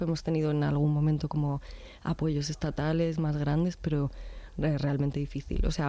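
Soft-spoken woman, mellow tone. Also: sits low, no room sound. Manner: soft, brief answers. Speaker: actress guest. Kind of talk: radio interview.

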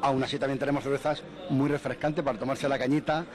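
A man's voice: medium pitch, steady tone, quick pace. A man interviewed as a business owner, answering with enthusiastic, salesy praise. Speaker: bar owner guest.

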